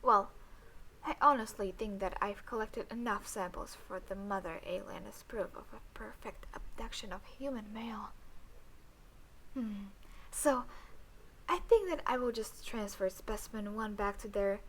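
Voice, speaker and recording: A woman's voice: mid-range voice, a bit bright, a little hiss or hum, low-quality recording. A woman playing a detached female alien scientist in an audio roleplay, speaking clinically with playful hums and asides to the listener. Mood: satisfied, courteous. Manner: clinical log-keeping, drawn-out hesitant hums and asides.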